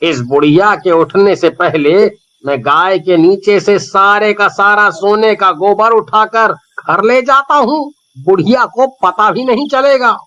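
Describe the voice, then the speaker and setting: High, sharp-voiced scheming villager. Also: male voice, lo-fi sound, heavily acted. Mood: sly. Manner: exaggerated, drawn-out musing with hums and exclamations. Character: scheming, envious village man in a moral folktale. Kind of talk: Hindi cartoon story voice acting.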